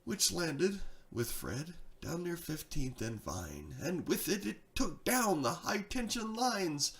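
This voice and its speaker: A man's voice: fairly high pitch, a bit bright. A man reading a darkly comic rhyming tale in a theatrical, rhythmic storytelling style. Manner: dramatic, sing-song rhythmic delivery. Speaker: storyteller, reader.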